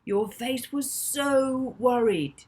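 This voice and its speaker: A woman voicing an excitable, cheeky young boy in a children's storybook, exclaiming and teasing. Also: fairly high pitch, extremely slow, breathy edge, pitched above the performer's own voice, full character voice. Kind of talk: children's storybook character dialogue.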